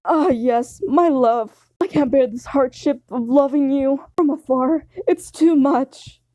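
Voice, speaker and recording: A woman's voice: high voice, slow, warm tone, no echo, pitched above the performer's own voice. Woman playing a theatrical lovestruck young cook.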